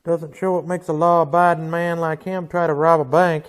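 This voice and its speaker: An actor playing a stern frontier marshal with a dry, deadpan manner in a radio western. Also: male voice, a little high, normal speed, lightly acted. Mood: dryly sarcastic. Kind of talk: old-time radio western acting.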